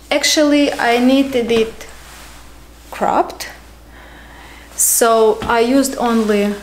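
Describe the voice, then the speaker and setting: Slow-talking woman with a Ukrainian accent. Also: a little high, a little hiss or hum. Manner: slow, unhurried, with frequent ums and pauses. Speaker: podcast host. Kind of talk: casual knitting podcast chat. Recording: echoey room.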